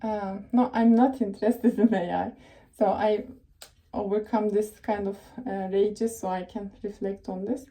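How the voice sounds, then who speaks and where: A woman's voice: mid-range voice, fairly even intonation, a little slow, dark tone. A young woman from Turkey with a Turkish accent, giving casual career advice in a rambling, chatty way full of fillers and self-corrections. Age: young adult. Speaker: tech professional giving advice.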